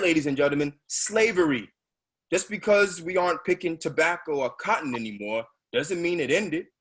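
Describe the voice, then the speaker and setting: A man's voice: a little high, fairly bright tone, husky. A man playing a fervent, streetwise activist in a stage reading, speaking in an emphatic, oratorical way. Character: fervent streetwise male activist preaching to subway riders. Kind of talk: readers theatre stage monologue.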